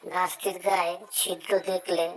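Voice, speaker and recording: A woman voicing a concerned, caring mother bird in a cartoon story, speaking earnestly and deliberately. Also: on the low side, level tone, natural voice, poor audio. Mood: agreeing, resolved.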